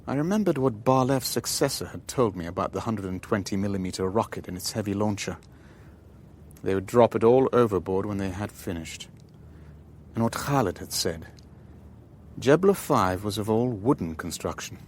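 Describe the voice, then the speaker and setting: A shrewd, weary shipping boss in a radio spy drama. His voice sits low and he speaks in measured, clipped phrases, deliberate in narration and dialogue alike, over noticeable background noise. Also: animated. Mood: grave, reflective. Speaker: narrator and lead character.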